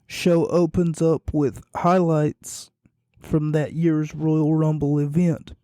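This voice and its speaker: A man with a fading, hoarse voice hosts a podcast on his own, speaking slowly in a low, rambling monotone. His tone is dark and mellow, and the recording is dry and close. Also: dry recording. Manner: rambling, self-deprecating, low and quiet. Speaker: podcast host. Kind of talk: casual solo podcast recap.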